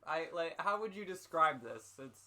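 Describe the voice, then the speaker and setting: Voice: mid-range voice, animated, moderate pace, bright, forceful tone. A guest commentator chatting casually and joking along about a piece of writing.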